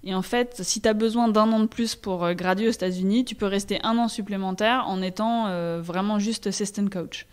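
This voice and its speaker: A woman's voice: medium pitch, fairly even intonation, normal speed, few pauses, clean tone. A young woman from France with a French accent, talking candidly and casually as an interview guest, often hesitating as she searches for words. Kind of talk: casual conversational interview, personal account.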